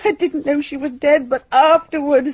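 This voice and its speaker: An actress playing an agitated, guilt-stricken society woman in a radio drama, halting and confessional. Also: high voice, fairly even intonation, dry recording, natural voice. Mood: guilty, confessing. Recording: dull, boxy radio drama recording.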